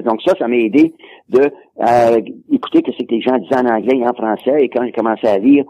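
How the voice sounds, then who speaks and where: Older man, husky and fast. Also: medium pitch, mellow, no echo, poor audio. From Quebec. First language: English. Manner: rambling, repeats words while recalling. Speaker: guest, sports historian. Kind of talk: casual interview.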